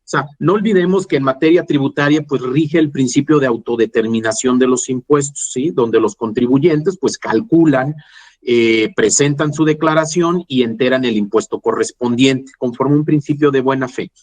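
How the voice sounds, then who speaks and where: A man's voice: medium pitch, moderate pace, darkish tone. A man with a Mexican accent giving a professional webinar explanation, often adding tag questions to check agreement. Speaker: webinar panelist, tax litigation specialist.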